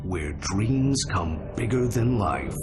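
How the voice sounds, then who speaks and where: An actor playing a bombastic promo announcer, reading dramatic parody trailer narration for a video game. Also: male voice, fairly low pitch, big pitch swings, slow, loud music behind the voice. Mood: grandiose.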